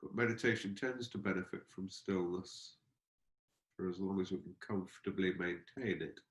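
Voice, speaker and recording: A man leading a guided meditation as a teacher, speaking gently and unhurriedly, feeling out his phrases with pauses. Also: low voice, fairly even intonation. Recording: roomy, echoing space.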